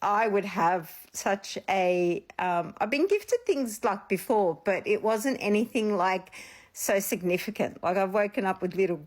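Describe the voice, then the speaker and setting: A woman's voice: medium pitch, lively intonation, a little slow. A woman, a psychic medium, chatting casually and rambling through a personal story on her vlog, with a slight sniffle after being ill. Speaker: psychic medium and channel host.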